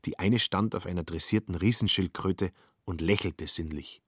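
A man's voice: low voice, normal speed, breathy edge, dry recording, clean studio sound. A man narrating a literary radio drama in a wry, theatrical way.

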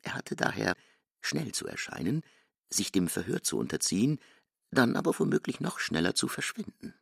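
A male narrator reads literary prose for a radio play. His voice is very deep with a gravelly fry, yet it swings widely in pitch. The recording is tight and dry. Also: big pitch swings, normal speed, a bit bright, no room sound.

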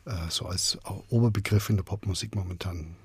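A man's voice: low voice, a little fast, slightly rough, no room sound. A man being interviewed as a radio drama director, speaking spontaneously and searching for words with frequent repetitions.

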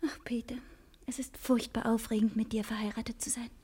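A woman's voice: on the high side, flat delivery, fairly quick, warm tone, slightly rough, no echo. An actress playing an elegant, anxious but resolute married lady in a German television drama. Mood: whispered excitement.

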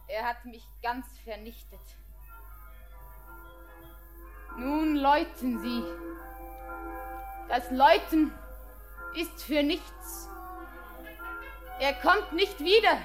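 A high, slow-spoken young bride. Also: female voice, exaggerated voice. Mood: despairing. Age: young adult. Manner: soft, lingering verse speech. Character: young bride, dreamy and grieving. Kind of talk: classic radio play acting.

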